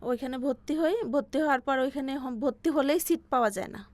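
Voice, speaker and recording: A woman in her forties with a Bangladeshi accent, telling her life story as an interview guest in a halting way, circling back over her phrases. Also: high voice, no echo. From Bangladesh, Faridpur region. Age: 40s.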